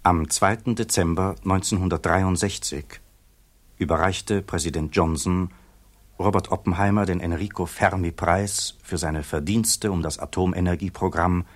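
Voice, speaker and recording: Deep-voiced male narrator, formal. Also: fairly even intonation, moderate pace. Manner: formal, factual announcing. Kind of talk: scripted documentary-style radio play narration.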